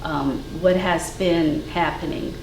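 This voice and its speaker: An older woman, a guest artist whose first language was Ukrainian, talking in a thoughtful, measured way with many fillers. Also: low voice, steady tone. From Cleveland, Ohio, US. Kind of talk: casual interview conversation. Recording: echoey room from far off, faint noise.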